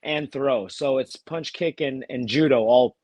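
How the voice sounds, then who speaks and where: Man with a cold, halting and dry. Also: mid-range voice, darkish tone, no echo. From Texas, USA. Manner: halting, many restarts and fillers. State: has a cold. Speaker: bare-knuckle fighter, guest. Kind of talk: casual interview chat.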